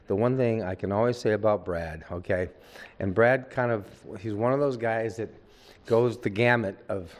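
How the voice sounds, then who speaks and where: A man's voice: fairly low pitch, normal speed. An older man, a veteran actor, chatting at length in an on-set interview, rambling with many asides.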